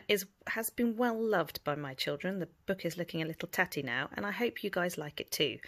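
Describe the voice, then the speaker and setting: A middle-aged woman reading a picture book aloud as a bedtime story, with dramatic delivery and hushed asides. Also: lowish voice.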